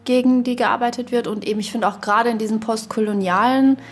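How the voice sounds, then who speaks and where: A woman co-hosting a podcast, speaking casually and reflectively, haltingly thinking aloud in academic vocabulary. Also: medium pitch, fairly even intonation, faint music behind. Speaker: podcast co-host.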